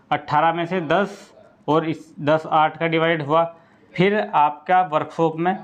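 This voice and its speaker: A man presenting exam-prep information to students in an informal, instructive way, often repeating himself and checking that they follow. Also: on the high side. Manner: repetitive, instructive, frequent check-in tags. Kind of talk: informal explainer addressed to students. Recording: dull, lo-fi voice recording.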